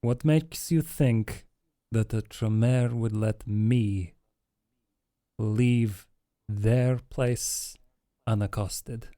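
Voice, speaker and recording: A wary vampire, played by a man in a tabletop game, talks in a low, dark voice. The delivery is very slow and measured, guarded as in a careful bargain. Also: fairly even intonation, dry recording, studio quality.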